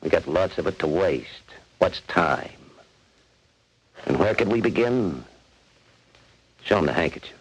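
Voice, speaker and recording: An actor playing a tough, bossy convict with slangy, ungrammatical talk in a 1940s radio crime drama, on an old recording. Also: male voice, deep voice, expressive, a little slow, pauses often, breathy edge, heavily acted, low-quality recording. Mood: scheming, confident. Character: tough, bossy male convict plotting prison break.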